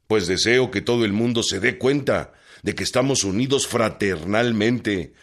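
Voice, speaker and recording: A man playing a resolute revolutionary commander with a formal, measured delivery in a radio drama. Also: on the low side. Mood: solemn, fraternal. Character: Pancho Villa, resolute northern revolutionary commander.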